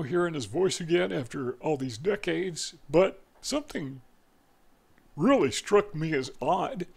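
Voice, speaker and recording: An older man with a Southern US accent talks in a loose, reflective monologue. His voice sits a little high for a man, with a gravelly fry and a husky edge. He rambles and circles back with fillers as he thinks. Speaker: vlogger. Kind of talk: casual monologue.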